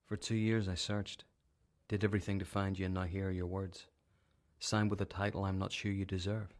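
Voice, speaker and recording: An actor playing a brooding, wounded young son in a radio drama monologue. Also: male voice, low-pitched, little pitch movement, fairly quick, natural voice. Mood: hurt, resentful. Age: young adult.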